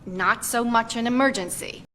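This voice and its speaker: An actress playing an unimpressed paramedic with an American accent, blunt and deadpan, in a radio sitcom. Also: female voice, normal speed, natural voice. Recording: noisy radio recording with rumble.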